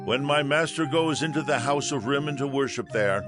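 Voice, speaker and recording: An actor playing a proud, earnest army commander in a dramatized scripture production. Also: male voice, mid-range voice, moderate pace, over music, lightly acted. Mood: humbled, earnest. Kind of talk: dramatized scripture audio acting.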